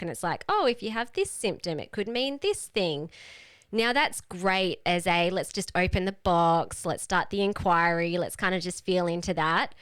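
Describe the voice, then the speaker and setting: A woman's voice: medium pitch, smooth, no echo. A woman leading a live online group call as a health coach, teaching in a casual, explanatory and emphatic way.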